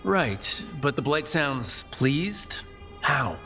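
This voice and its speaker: A man's voice: medium pitch, big pitch swings, very slow, sharp tone, long reverb tail, faint music behind, exaggerated voice. An actor playing a curious, quippy male hero in a fantasy game, with a wry, questioning manner. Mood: concerned, curious. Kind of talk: game dialogue acting.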